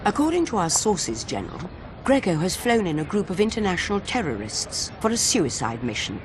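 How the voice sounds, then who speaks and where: An actress playing a stern older British spy chief in a game cutscene, clipped, authoritative and dry. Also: female voice, on the low side, sing-song, fairly quick. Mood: firm, cold. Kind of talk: cinematic game cutscene acting. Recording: loud background noise.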